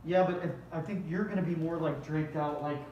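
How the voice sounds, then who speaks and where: A man with a fairly high voice gives casual, hands-on direction at a rehearsal, often repeating himself for emphasis. He is recorded from far off in a very echoey room with clear background noise. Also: fairly even intonation, a little fast, a little mellow, highs cut off. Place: indoor room at a table read.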